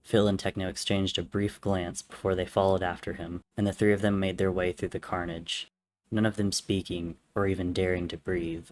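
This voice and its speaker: A man's voice: low-pitched, little pitch movement, normal speed. A man reading fiction as a dramatic, scripted narrator. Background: soft music or ambience.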